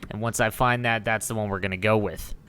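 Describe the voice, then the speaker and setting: A man's voice: fairly low pitch, steady tone, brisk, bright, forceful tone, smooth. A man hosting a gaming video, giving casual, enthusiastic commentary in an informal way. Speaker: gaming channel host.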